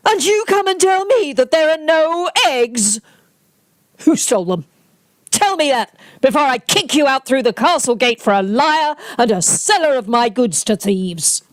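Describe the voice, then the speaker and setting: Pompous blustering squire in a radio play, done by a woman, drawn out words, pitch jumping all over. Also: lively intonation, unhurried, no room sound. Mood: threatening. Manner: pompous, imperious, hectoring. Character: blustering, bullying male squire and castle captain. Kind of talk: theatrical radio play acting.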